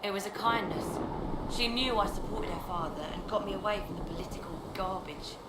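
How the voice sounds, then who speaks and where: A woman playing a blunt, loyal and weary army quartermaster in a video game, brisk and plain-spoken. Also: medium pitch, lightly acted. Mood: defensive, bitter. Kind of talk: video game dialogue acting. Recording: roomy game audio, very noisy background.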